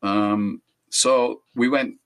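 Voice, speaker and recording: An older man, a musician being interviewed, telling rambling anecdotes in a relaxed way and correcting himself as he goes. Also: very slow. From England. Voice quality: high voice. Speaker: musician guest.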